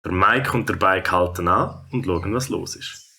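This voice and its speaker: A man's voice: low-pitched, moderate pace, sharp tone, a little hiss or hum, exaggerated voice. A man with a Swiss German accent narrating a scripted children's audio story. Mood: storytelling.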